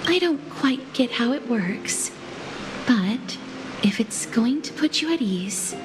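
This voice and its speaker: An actress playing a gentle, solemn young noblewoman in a game, polite, measured and softly reassuring. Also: on the high side, lively intonation, over music. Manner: polite, measured, soft-spoken. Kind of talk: dramatic video game dialogue.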